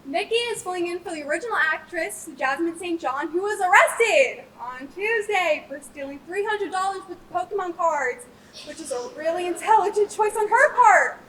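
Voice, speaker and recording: A woman's voice: extremely high pitch, expressive, normal speed, fairly bright tone. A teenage girl playing a flustered, exasperated young stage director who addresses an audience with sarcasm. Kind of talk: comedic stage monologue to an audience. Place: theatre stage at a competition. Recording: distant stage microphone, faint noise.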